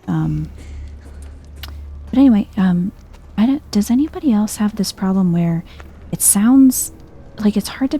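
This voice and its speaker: A soft-spoken woman giving chill, understated game commentary in an ASMR style, musing aloud as she plays. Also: on the low side, creaky, husky. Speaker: video game streamer.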